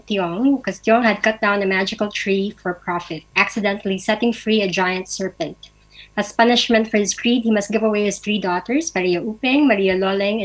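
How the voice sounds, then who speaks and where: Woman, mid-pitched presenter. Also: a bit bright, rough recording. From Philippines. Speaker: guest speaker. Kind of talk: prepared presentation.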